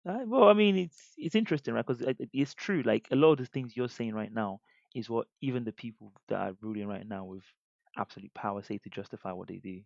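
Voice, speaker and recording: A man in a podcast debate speaks quickly and stacks up questions. His sentences keep restarting with repeated words. The recording is dry and a little dull. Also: mid-range voice, creaky, no echo. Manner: fast, stammering restarts, probing questions. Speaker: podcast co-host. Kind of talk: heated debate.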